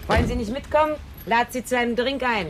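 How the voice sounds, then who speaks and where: A woman's voice: a little high, quick pace, noisy background, close to an everyday voice. An actress playing a drunk, sentimental woman in a radio play, rambling and coquettish. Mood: tipsy, chatty. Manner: rambling, muttering to herself, coquettish. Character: tipsy, remorseful woman drinker, sentimental and chatty.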